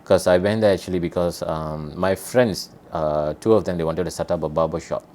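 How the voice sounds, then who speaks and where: A man's voice: low-pitched, brisk, warm tone, slight background noise. A man from Malaysia telling travel stories in a casual chat, rambling with many restarts.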